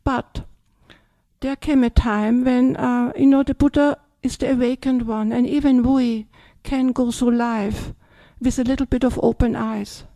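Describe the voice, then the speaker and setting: Chatty older Austrian nun, mellow voice. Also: female voice, a little high, steady tone, a little slow, no room sound. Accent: Austrian German. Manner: chatty, tags sentences with "yeah?" and "yes?". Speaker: Buddhist nun. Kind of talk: dharma talk.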